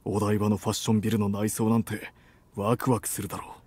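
Company president love interest, thirties: low, husky, gently commanding, intimate romance drama. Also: male voice, level tone, fast talker. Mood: businesslike, keen. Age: 30s. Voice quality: soft, breathy. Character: suave, assertive 34-year-old company president, romantic boyfriend.

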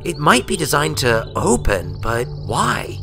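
Man voicing a brash young mare, raised pitch. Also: wildly swooping pitch, takes it slowly, gravelly, over music, full character voice. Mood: curious, blunt. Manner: blunt, grumbling. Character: brash, grudging young female pegasus pony adventurer.

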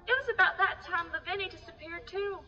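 High, bright-voiced folksy village gossip played by a woman. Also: brisk, exaggerated voice. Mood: hushed, grave. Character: gossipy, uneasy rural New England villager, a farm neighbor. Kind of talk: radio drama acting, storytelling. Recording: dull echoing hall with music behind.

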